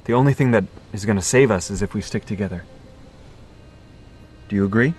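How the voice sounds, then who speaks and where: A man's voice: fairly low pitch, brisk, a little mellow, a little hiss or hum, lightly acted. A man playing an earnest, intense young man in an audio drama, solemn and persuasive as he rallies his companions. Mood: solemn, urgent.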